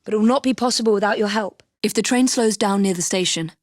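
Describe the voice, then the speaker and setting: Video game lead, played by a woman: young English Victorian assassin, genteel, brisk, resolute, drily witty. Clean, dry booth recording. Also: mid-range voice, no echo, close to an everyday voice. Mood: focused. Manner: crisp, formal period phrasing, dry wit. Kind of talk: video game character voice lines.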